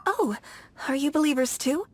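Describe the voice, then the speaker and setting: High-voiced, hopeful bride-to-be. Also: female voice, lively intonation, moderate pace, darkish tone, faint music behind. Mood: fervent hope. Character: devoted young bride-to-be, hopeful fervent female believer. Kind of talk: video game dub acting.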